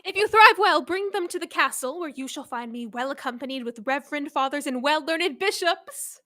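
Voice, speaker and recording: A woman's voice: sits high, lively intonation, moderate pace, sharp tone, dry recording, studio quality. A student actress playing a scheming, wrathful male would-be king in a Shakespearean radio play, theatrical and commanding. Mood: conspiratorial. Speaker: student actor.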